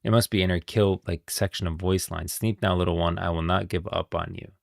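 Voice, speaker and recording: Deep, gravelly man streaming casual commentary. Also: fairly even intonation, a little fast, no echo, studio quality. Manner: informal, musing aloud, mild exclamations. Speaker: streamer, game lore commentator. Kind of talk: casual live reaction commentary.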